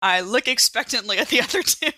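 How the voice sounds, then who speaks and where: A woman's voice: fairly high pitch, lively intonation, brisk, bright, forceful tone. A woman playing a tabletop role-playing game, asking questions casually and speaking for her character in her own voice.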